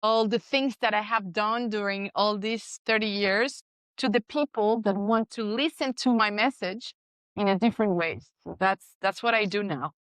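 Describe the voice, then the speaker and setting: A woman's voice: medium pitch, normal speed, fairly bright tone, dry recording. Woman, middle-aged, enthusiastic storyteller, dry close sound. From Spain. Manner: enthusiastic, storytelling, non-native English phrasing. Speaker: guest, professional speaker. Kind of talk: conversational interview.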